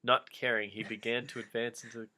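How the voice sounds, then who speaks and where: A man's voice: mid-range voice, little pitch movement, fast talker, pushed and bright, rough recording. A man giving a comedic reading of fan fiction, breaking off into casual, jokey asides.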